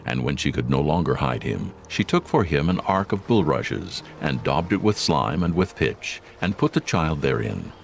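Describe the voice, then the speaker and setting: A man's voice: rumbling low, very animated, normal speed, gravelly, few pauses, a little hiss or hum. A man narrating scripture for a dramatized audio Bible in solemn storytelling style.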